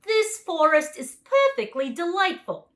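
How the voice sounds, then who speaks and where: A woman's voice: extremely high pitch, very animated, measured pace, fairly bright tone, voice pushed up, exaggerated voice. A woman voicing a big, confident, cheerful male lion in a children's storybook, speaking emphatically. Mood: joyful.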